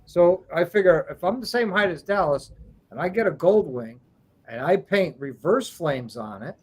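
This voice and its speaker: Man, slightly high, husky, joking, wisecracking. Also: lively intonation, moderate pace. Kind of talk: casual chat.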